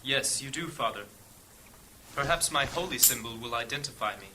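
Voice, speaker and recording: Solemn, commanding high churchman. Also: lively intonation, pushed and bright, rough recording. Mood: grave. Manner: grave, formal, measured. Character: solemn high-ranking male church leader, commanding and urgent. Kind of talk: fantasy audio drama acting. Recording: clear background ambience noise.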